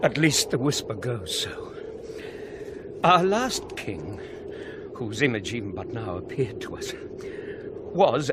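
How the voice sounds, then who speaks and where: A British man acting a scholarly, sceptical gentleman shaken by awe, in theatrical, measured Shakespearean radio drama style. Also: mid-range voice. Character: sceptical scholarly male friend of a prince, shaken and awed. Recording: noisy 1990s radio drama with ambience.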